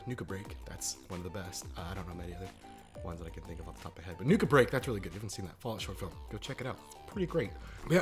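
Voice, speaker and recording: Casual, emphatic man with music behind. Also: mid-range voice. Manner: informal, emphatic, jokey, profane. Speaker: YouTube video reviewer. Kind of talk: casual opinionated video review. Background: background music.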